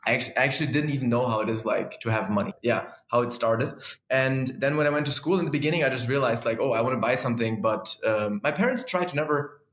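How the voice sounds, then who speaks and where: A man's voice: mid-range voice, quick pace, echoey room. A young man from Germany, speaking casually as an entrepreneur guest and often filling gaps with "essentially" and "um". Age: young adult. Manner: animated, piles on fillers like "essentially" and "um". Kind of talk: casual interview talk.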